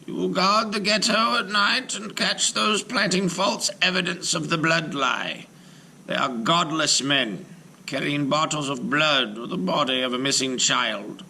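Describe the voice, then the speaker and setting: A man's voice: fairly high pitch, measured pace, gravelly, sharp tone, a little hiss or hum, voice pushed up, full character voice. A man voicing a commanding old rabbi. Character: elderly male rabbi, commanding and solemn.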